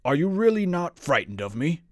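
Man putting on a gruff, blustering beast monster. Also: mid-range voice, lively intonation, normal speed, no room sound, clean studio sound, lightly acted. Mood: grudgingly hospitable. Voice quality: raspy growl. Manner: theatrical, indignant exclamations.

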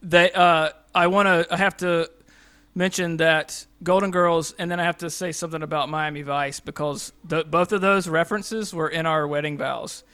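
A man's voice: fairly high pitch, steady tone, moderate pace, sharp tone, clean tone. A man from North Carolina chatting on a podcast, enthusiastic and playful, rambling a little. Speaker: podcast guest. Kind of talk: casual chat.

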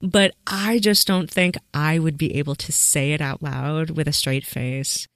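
A woman's voice: sits low, fairly even intonation, a little slow, dry recording. A woman hosting an interview podcast, encouraging her guest with laughs and exclamations. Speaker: podcast host. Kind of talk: casual interview chat.

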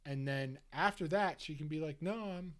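A man's voice: fairly high pitch, normal speed. A man from the Philadelphia suburbs co-hosting a casual book-club chat, thinking aloud in a rambling way with heavy use of "like". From Delaware County, Pennsylvania. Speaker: podcast co-host.

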